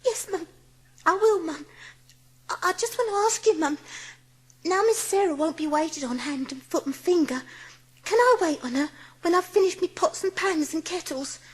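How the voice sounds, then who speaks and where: A woman's voice: very high-pitched, normal speed. A woman playing a timid young scullery maid in an audiobook drama, anxious and deferential, with an English working-class accent. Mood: tearful pleading. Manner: deferential, anxious, breathless pleading.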